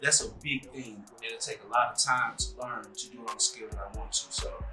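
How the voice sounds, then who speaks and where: A man in his thirties, a musician being interviewed, talking in a relaxed, rambling way full of "like" and "you know". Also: mid-range voice, brisk. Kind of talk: casual interview chat. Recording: echoey room with faint music.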